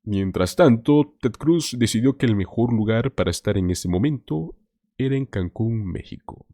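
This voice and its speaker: Guy doing political commentary, pitch jumps all over, dry close mic. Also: animated, normal speed, mellow, dry recording. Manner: emphatic, addresses viewers as "señores".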